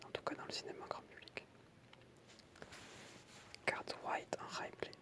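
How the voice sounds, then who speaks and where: An ASMR creator whispering in French, reading aloud softly with informal, hesitant asides.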